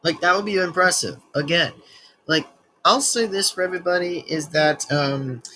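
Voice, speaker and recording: A young man in his twenties hosts a casual reaction video, talking in a slightly high voice. He rambles, circles back on himself and trips over words as he reads out loud. A faint hum of room noise sits behind him. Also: moderate pace, rough recording. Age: 20s. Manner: rambling, many restarts and stumbles while reading. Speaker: reaction channel host. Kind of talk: casual reaction video, reading text aloud.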